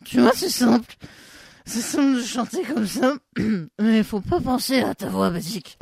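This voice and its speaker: A woman playing a flustered singing teacher in an amateur radio play. Her voice is hoarse after a night in the studio, and she keeps clearing her throat. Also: fairly high pitch, unhurried, a little mellow, dry recording, full character voice.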